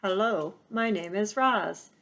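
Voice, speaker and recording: A calm, polite female robot, as a woman reading aloud puts her on. She speaks in plain, measured phrases with a steady, even delivery. A gentle storybook machine. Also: mid-range voice.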